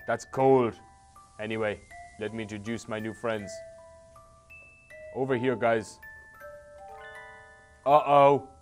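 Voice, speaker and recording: A man's voice: mid-range voice, a little mellow. An Irish man reading a game's dialogue aloud, with sarcastic, swearing asides between the lines. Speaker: host playing a game.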